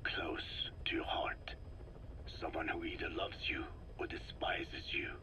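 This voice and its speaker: A very low, whispered voice of an elderly sage, heavy with solemnity. He speaks slowly and cryptically, as in a dramatic game recording, each phrase set down with deliberate care. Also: level tone. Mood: grave warning. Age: older. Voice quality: hushed, whispery, breathy. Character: wise, grave, slow-speaking old man, a dying elder. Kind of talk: scripted video game dialogue.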